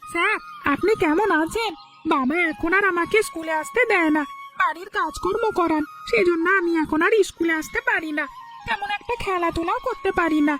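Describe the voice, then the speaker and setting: A woman's voice: extremely high pitch, a little fast. A woman voicing an earnest young village schoolboy in a cartoon, polite and hopeful. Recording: soft music underneath.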